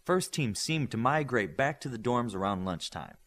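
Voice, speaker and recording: Male audiobook narrator, mid pitch, very varied intonation, scripted fiction delivery. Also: lively intonation, moderate pace, a bit bright. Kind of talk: scripted narration of a novel, acting out the dialogue.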